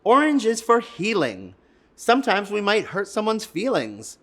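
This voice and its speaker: A storytime co-host reading and explaining to young children in an expressive way, stretching words for emphasis and speaking directly to the listeners. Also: mid-range voice, a little slow.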